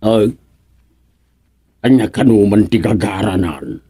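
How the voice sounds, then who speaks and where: A man playing a fussy, excitable older villager in a radio comedy drama, speaking with an Ilocano accent and lots of exclamations. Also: lowish voice, slow, breathy edge. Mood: fussing. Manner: exclamatory, pleading, animated.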